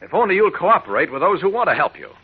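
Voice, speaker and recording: A man's voice: high-pitched, very animated, fast talker, slightly rough, exaggerated voice. A man acting in a 1940s radio drama as an earnest, resolute, persuasive man, on a muffled old recording. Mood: earnest, urgent. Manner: persuasive, emphatic. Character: earnest, resolute man urging a frightened family to stand firm.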